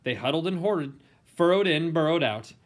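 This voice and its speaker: A man's voice: a little high, expressive. Theatrical man reading a children's storybook. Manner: theatrical, playful, dramatic emphasis and pauses. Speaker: storybook reader. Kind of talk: expressive read-aloud of a children's picture book.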